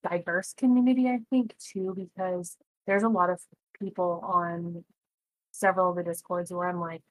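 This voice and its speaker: A woman in her early thirties talks on a podcast in a fairly low voice, recorded close and dry. She speaks earnestly and reflectively, often letting her sentences trail off softly. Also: no room sound, low-quality recording. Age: 30s. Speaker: podcast co-host. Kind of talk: casual chat.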